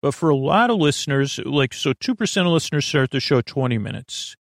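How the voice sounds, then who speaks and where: A man hosting a sleep podcast in an unscripted, meandering monologue, halting, repeating himself and trailing off mid-thought. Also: mid-range voice, expressive, dry recording, clean studio sound. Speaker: podcast host.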